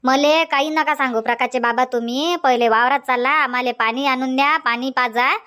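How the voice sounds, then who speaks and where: A woman's voice: high-pitched, steady tone, moderate pace, pushed and bright, clear, resonant tone. A woman voicing a nagging middle-aged village farm wife in a comic cartoon, scolding. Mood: indignant. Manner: scolding, insistent, long rants. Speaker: cartoon voice actor.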